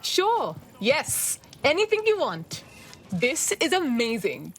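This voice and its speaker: A woman's voice: high voice, wildly swooping pitch, slow, soft background music, heavily acted. A woman playing an eager, casual young hacker recruit in a video game. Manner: casual, flippant.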